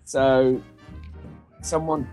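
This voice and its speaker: A man's voice: medium pitch, flat delivery, extremely slow, warm tone, faint music behind. A man in his twenties calling in late at night, asking playful, teasing questions. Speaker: guest on call. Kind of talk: casual late-night chat.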